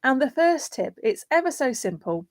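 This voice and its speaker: A woman's voice: high voice, big pitch swings, normal speed, no echo. Woman explaining tips, close dry sound. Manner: illustrates points with everyday examples and imagined callers. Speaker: guest voiceover artist. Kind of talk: conversational explainer giving practical tips.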